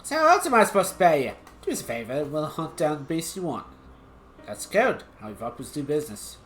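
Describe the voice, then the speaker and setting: A streamer voicing a rough male monster hunter in an Australian accent, with a folksy, slangy drawl. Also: medium pitch, sing-song, fairly quick, close to an everyday voice. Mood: matter-of-fact. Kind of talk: reading game dialogue aloud on stream. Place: home streaming setup.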